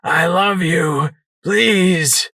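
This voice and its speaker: Desperate male ghoul pony voiced by a man, plaintive, slow, begging, dry audiobook sound. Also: a little high, very animated, creaky, fairly bright tone, breathy edge, no room sound, pitched above the performer's own voice, heavily acted. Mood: pleading.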